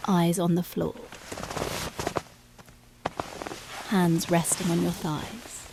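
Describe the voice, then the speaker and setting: A woman playing a dominant, seductive policewoman in an intimate ASMR roleplay, breathy and deliberate, often dropping to a whisper. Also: lowish voice, mellow, no echo, exaggerated voice. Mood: commanding, seductive. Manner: slow, deliberate, commanding.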